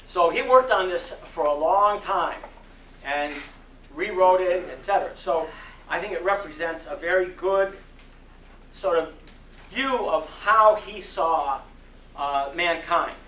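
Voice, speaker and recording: A man delivers a dramatic sermon reading in a forceful, bright voice. His phrasing is slow, deliberate and oratorical. The sound is echoey, as if picked up at some distance in a hall. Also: high-pitched. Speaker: speaker giving a dramatic reading. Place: community center hall. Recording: roomy echo, distant mic, faint noise.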